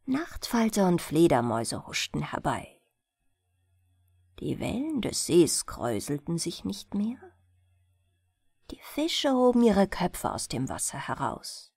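A woman's voice: lowish voice, slow, gravelly, slightly rough, dry recording, studio quality. A woman reading a bedtime fairy tale expressively as an audiobook narrator. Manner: expressive storytelling.

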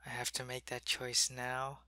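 A man voicing a sick male alien changeling soldier, loyal to his queen, who talks in a soft, weary ASMR whisper. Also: mid-range voice, monotone, a little slow, dry recording, studio quality. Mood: weary, ill. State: sick and weak, in pain. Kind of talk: ASMR roleplay.

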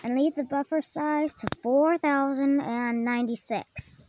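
A very high-pitched voice hosts a casual software tutorial at a slow pace. The delivery is halting, with phrases often repeated and reworded. The recording is dull and lo-fi. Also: fairly even intonation. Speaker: tutorial host. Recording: dull lo-fi microphone, clearly noisy.

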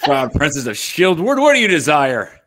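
A man's voice: a little high, very animated, normal speed, a bit bright. Man as swooping, anxious space pilot. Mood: mock courtly. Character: anxious, defensive young male space-smuggler pilot. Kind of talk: live online comedy table read. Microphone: desk microphone close up, video call.

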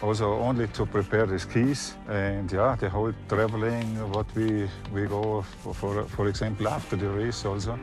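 A ski coach in his fifties speaks halting English with an Austrian accent in an interview. His voice is fairly low, with music clearly audible behind it. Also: flat delivery. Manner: halting non-native English. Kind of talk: interview answers. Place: inside a van or camper.

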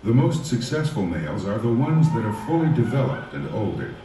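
Male documentary narrator, scripted read, heard from a distance in a very echoey room with clear noise. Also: fairly low pitch, sing-song, fairly quick.

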